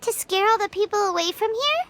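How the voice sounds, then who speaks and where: Very high childlike voice, small fairy companion, squeaky, swooping pitch, inquisitive, game dialogue, faint noise. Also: female voice, expressive, brisk, fairly bright tone. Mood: questioning, mildly scolding. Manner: inquisitive, emphatic. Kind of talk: video game voice acting.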